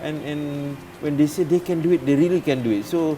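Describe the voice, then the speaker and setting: Halting Malaysian man in a noisy interview. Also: fairly high pitch, fairly even intonation, warm tone. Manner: halting, many repeated words and fillers. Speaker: interview guest, diplomat. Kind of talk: casual interview talk.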